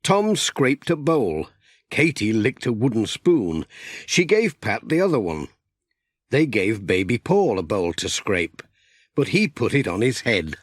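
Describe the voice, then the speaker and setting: A man's voice: on the low side, big pitch swings, unhurried, no echo, clean studio sound. A man with a British accent narrating a scripted children's story as an audiobook reader.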